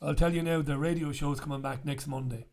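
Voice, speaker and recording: A croaky, gravelly-voiced man hosts a casual talk to camera from a small room, speaking into a studio mic. His voice sits a little high for a man, and his talk is blunt and chatty, with the odd swear word. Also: steady tone, brisk, darkish tone. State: croaky after illness. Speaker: host. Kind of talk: casual direct-to-camera chat. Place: small bright room indoors. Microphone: studio mic on stand in front.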